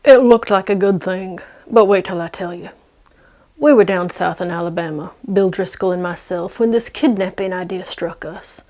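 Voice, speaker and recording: A woman's voice: lowish voice, normal speed, warm tone. Woman storyteller reading a classic tale. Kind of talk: dramatic audiobook-like story narration.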